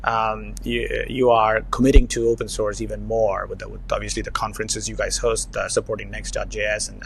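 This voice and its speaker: Interview host, low gravelly man, halting, faint noise behind. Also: poor audio. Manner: hesitant, many fillers and restarts. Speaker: podcast host, investor. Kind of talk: interview questions, conversational.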